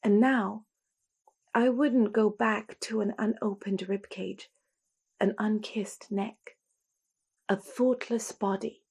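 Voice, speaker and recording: A woman performing a poetic dramatic monologue with deliberate, emphatic phrasing. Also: medium pitch, fairly even intonation, gravelly, a little mellow, husky.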